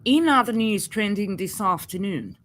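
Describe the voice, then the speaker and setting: A woman with a Zambian accent reading a scripted news bulletin as a radio presenter in a formal, measured manner. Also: medium pitch, expressive.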